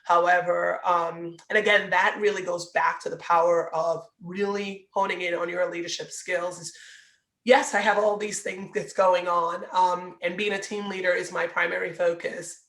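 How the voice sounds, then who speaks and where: A woman's voice: lowish voice, level tone, moderate pace, fairly bright tone, echoey room. A woman from Trinidad and Tobago talking earnestly about leadership as an interview guest. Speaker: guest, real estate team leader. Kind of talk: casual interview over video.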